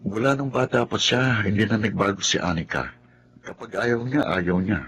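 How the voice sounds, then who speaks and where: A man's voice: fairly low pitch, moderate pace, fairly bright tone, a little hiss or hum, natural voice. An actor playing a mild, patient older brother and family man, with a Filipino accent, in a TV drama. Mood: resigned, understanding.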